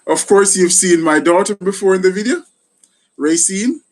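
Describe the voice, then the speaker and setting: A man's voice: sits high, moderate pace. A middle-aged man with a Jamaican accent hosting a casual live chat, thanking people often. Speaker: gardening channel host, retired soldier.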